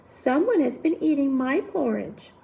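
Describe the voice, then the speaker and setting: Woman playing a measured storybook mama bear. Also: sits high, sing-song, creaky, mellow, highs cut off, full character voice. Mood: mild indignation. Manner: measured, moderate. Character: middle-sized indignant mama bear. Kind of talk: children's storybook character voice.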